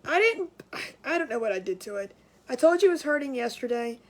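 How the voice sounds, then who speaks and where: A woman's voice: high voice, big pitch swings, brisk. A woman hosting a casual craft livestream from home, sounding nasal and congested, chatty and scattered, with frequent laughs and restarts.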